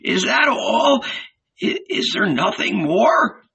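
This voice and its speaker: A man's voice: high voice, expressive, creaky, bright, forceful tone, breathy edge, highs cut off, pitched above the performer's own voice, exaggerated voice. A man voicing a frightened old rich man, trembling and stammering, pleading reverently in a storybook reading. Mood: desperate, tearful. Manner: stammering, halting.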